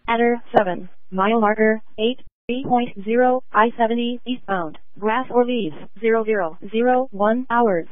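Lady dispatcher rattling off clipped radio callouts, highish voice, thin scanner sound. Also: moderate pace, few pauses, no echo. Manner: clipped, formulaic, itemized delivery. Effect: two-way radio. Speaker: emergency dispatcher. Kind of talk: procedural radio dispatch announcement. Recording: narrow phone-like scanner radio audio.

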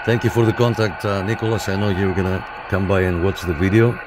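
A man's voice: low-pitched, steady tone, a little fast, heavy background noise. A man from Canada hosting a CB radio channel, calling and answering contacts in radio procedure. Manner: repeats call signs and "roger, roger" in radio procedure. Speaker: CB radio operator and channel host. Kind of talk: casual radio contact chat. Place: room on a third floor with a window antenna.

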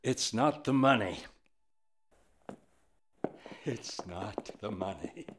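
Slow, theatrical showman. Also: lowish voice, animated, heavy background noise. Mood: dismayed. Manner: theatrical, deliberate. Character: grave showman puppeteer presenting his tale. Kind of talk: puppet film storytelling and dialogue.

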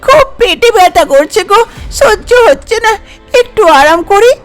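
A woman's voice: extremely high pitch, lively intonation, normal speed, voice pushed up. A woman voicing a pleading, whining schoolgirl stepdaughter in a cartoon story. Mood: pleading, pained. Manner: plaintive, pleading.

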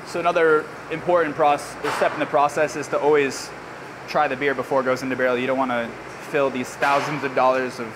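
A man in his thirties working as a brewer, explaining barrel filling in a relaxed, casual way on a brewery floor. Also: mid-range voice, brisk. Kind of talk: hands-on explanation. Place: large brewery floor with steel tanks, indoors. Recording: clear machinery and air-con noise.

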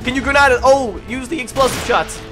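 A man playing a video game with excitable, exclamatory roleplay chatter. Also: very high-pitched, fairly quick, over music. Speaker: gaming video player in roleplay.

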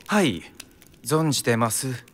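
An actor playing a courteous, wry official with a Kyoto accent in a radio drama. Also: male voice, medium pitch, lively intonation, a bit bright. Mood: polite, wry. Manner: polite, teasing, unhurried. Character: courteous, wry male official in Taisho Tokyo. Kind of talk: Japanese radio drama acting. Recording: clear music behind the voice.

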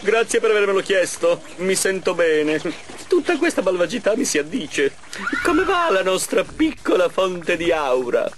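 A vain mad scientist gloats in a high voice that swoops widely. He is theatrical and smug, mocking everyone as a cartoon game villain. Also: big pitch swings, normal speed, background noise. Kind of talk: animated game cutscene dub.

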